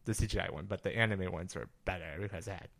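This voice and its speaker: A man's voice: low voice, rapid-fire, creaky, a bit bright, dry recording. A man co-hosting a podcast in casual banter, reacting agreeably to his partner. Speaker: podcast co-host. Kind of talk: casual banter about video game movies.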